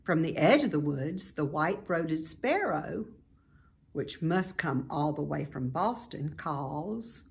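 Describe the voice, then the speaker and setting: A woman reading a children's novel aloud in an expressive, deliberate way. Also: sits low, gravelly. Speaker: storybook reader. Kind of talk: scripted narration of a children's novel.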